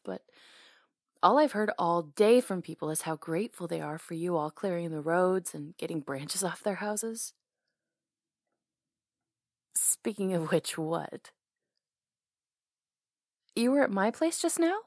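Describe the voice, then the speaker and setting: A woman's voice: fairly low pitch, creaky, no echo, clean studio sound. A woman acting in an intimate ASMR roleplay as a hair stylist, talking casually in a caring, teasing way.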